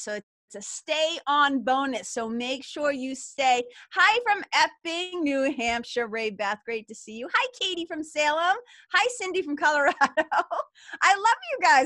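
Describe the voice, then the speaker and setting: A chatty, enthusiastic middle-aged woman with a thick Boston accent greets her webinar audience. Her voice sits high and bright. The sound is dry and close, as if from a computer mic on a video call. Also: no room sound. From Massachusetts. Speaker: webinar co-host. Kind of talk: live webinar, greeting viewers in the chat.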